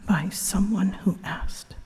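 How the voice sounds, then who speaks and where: A woman voicing a prickly, proud but weary female dragon who secretly yearns for comfort, in halting, formal speech for an ASMR roleplay. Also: mid-range voice, husky, long reverb tail. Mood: vulnerable, pleading. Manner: slow, hushed, halting, formal and regal phrasing. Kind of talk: ASMR roleplay audio drama.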